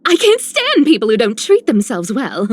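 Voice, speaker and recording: Lively, teasing thief girl. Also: a little high, normal speed, breathy edge, full character voice. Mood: teasing, playful. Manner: teasing, coy. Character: playful, greedy but generous young thief girl. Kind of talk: video game character voice lines.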